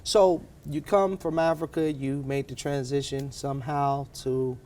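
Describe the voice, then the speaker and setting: A man's voice: medium pitch, fairly even intonation, measured pace, darkish tone. A man hosting a business interview show, formally welcoming his guest and asking questions. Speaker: podcast host. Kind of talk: scripted introduction and interview questions.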